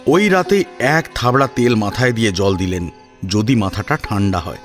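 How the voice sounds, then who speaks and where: A man narrating a scripted family drama in an expressive, suspenseful storytelling manner. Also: lowish voice, normal speed, faint music behind.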